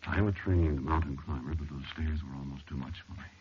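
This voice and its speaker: An actor playing an anxious, irritable male explorer in a 1940s radio horror drama, telling his story haltingly with deliberate, dramatic pauses. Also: extremely low pitch, slightly rough, exaggerated voice. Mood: brooding narration. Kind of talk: first-person 1940s radio horror narration and acting. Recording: noisy, muffled, clicky vintage radio recording.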